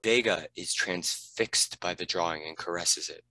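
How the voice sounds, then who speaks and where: Low, bright-voiced male narrator. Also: a little slow, rough recording. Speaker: narrator reading stage directions. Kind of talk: scripted narration.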